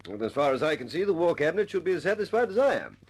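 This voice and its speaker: Lively, hesitant wing commander. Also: male voice, a little high, rapid-fire, slight background noise. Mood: satisfied. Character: measured, satisfied male wing commander giving a report. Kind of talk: wartime radio drama acting.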